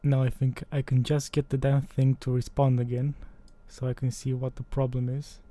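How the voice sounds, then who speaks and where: Man, native Romanian speaker, screen tutorial, thinking aloud, mildly exasperated, flat monotone, very dark mellow tone. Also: medium pitch, moderate pace. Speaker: tutorial maker. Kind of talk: screen-recorded software tutorial.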